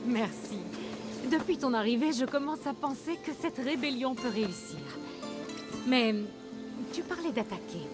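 Une actrice de doublage jouant une jeune cheffe rebelle audacieuse et passionnée dans un jeu vidéo. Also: female voice, high voice, expressive, unhurried, over music. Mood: fervent. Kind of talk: dubbed video game acting.

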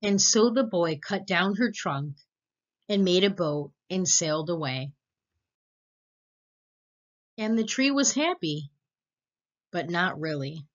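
A woman narrating a children's storybook as read-aloud host, expressive and deliberate, with slightly muffled sound. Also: fairly low pitch.

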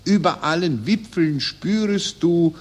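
An actor playing a vain, eager Viennese councillor who writes war verse, in a radio play with self-important, declaiming delivery. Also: male voice, fairly high pitch, slow pace. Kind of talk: mid-20th-century radio play acting.

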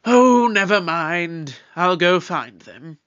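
Brisk, authoritative space detective in an audio drama. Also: medium pitch, expressive, fairly bright tone, dull audio. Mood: exasperated.

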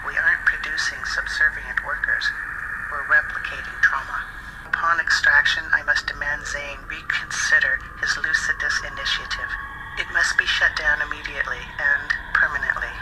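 An actor playing a troubled scientist reporting grim findings, grave and urgent. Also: male voice, fairly high pitch, little pitch movement, moderate pace, very noisy, natural voice.

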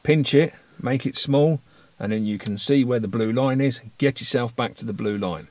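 A man's voice: medium pitch, normal speed, darkish tone. A British man in his forties, a vlogger talking casually to camera in an emphatic, wry, explanatory way. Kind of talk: casual vlog chat.

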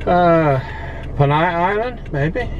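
A man's voice: on the high side, expressive, slow pace. A man chatting casually as a travel vlogger, recorded on a handheld camera inside a car. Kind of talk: casual travel vlog chat. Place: inside a car on a mountain road. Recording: muffled audio, loud moving-car noise. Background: loud car and road noise.

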